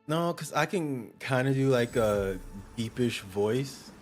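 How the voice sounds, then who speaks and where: A young man streaming a game, chatting casually with viewers in a smooth, upbeat, joking way. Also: mid-range voice, expressive, slow, soft background music. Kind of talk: casual livestream chat.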